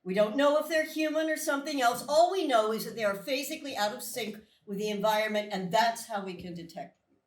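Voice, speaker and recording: An actress playing a blunt, probing female military colonel at a live screenplay reading, measured and pointed. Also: on the high side, lightly acted. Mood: grave, probing. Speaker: actor in a live table read. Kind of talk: dramatic script reading. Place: small live theatre stage. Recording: echoey theatre, far from the mic.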